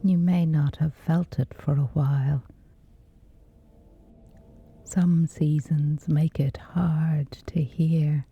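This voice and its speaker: A woman softly guiding a scripted sleep meditation in a breathy, gentle, soothing hush with long pauses. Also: deep voice, fairly even intonation, narrow, tinny audio. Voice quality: breathy, whispery soft.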